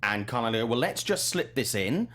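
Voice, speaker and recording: A man's voice: medium pitch, big pitch swings, normal speed, a bit bright. A man hosting a movie news talk show, chatting casually in an informal, wry and blunt way. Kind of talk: casual panel chat.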